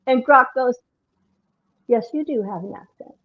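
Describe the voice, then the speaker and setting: A woman guest joins a live stream over a remote line and tells a story with animated laughter. Her voice sits high. The call-in audio sounds dull and muffled. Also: measured pace. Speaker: guest caller. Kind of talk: casual storytelling.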